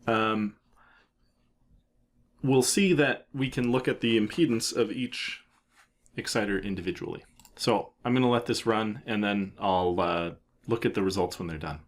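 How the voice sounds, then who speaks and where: A man presenting a software tutorial in a casual, unscripted way, thinking aloud as he explains what he is doing. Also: on the low side, unhurried. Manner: informal, thinking aloud with trailing phrases.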